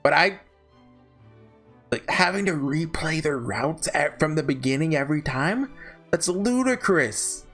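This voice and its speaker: Male video host, lightly high-pitched and very lively in intonation, for off-the-cuff ranking commentary with a rambling, self-correcting delivery. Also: a little slow, soft background music. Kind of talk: casual unscripted tier-list commentary.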